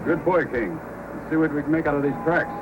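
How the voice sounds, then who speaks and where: A man acting a steady, authoritative Mountie sergeant. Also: on the high side, a little fast, loud music behind the voice, lightly acted. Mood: businesslike. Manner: authoritative, methodical questioning. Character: calm, courteous Mounted Police sergeant hero. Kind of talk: old-time radio acting. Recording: noisy old radio recording.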